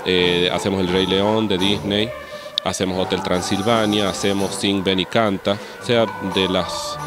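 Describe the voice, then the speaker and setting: An Argentine man, an orchestra conductor, answering an interview informally and explaining things with frequent pauses to search for words. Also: low-pitched, little pitch movement. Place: theatre, on location. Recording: loud music behind the voice.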